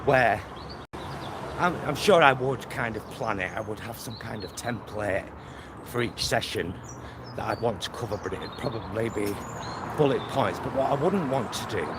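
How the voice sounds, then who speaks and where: Man, slow rambling delivery, casual walking vlog, clear outdoor traffic noise. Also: medium pitch. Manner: rambling, thinking aloud in short phrases. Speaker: vlogger. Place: outdoors on a walk near motorway and lake.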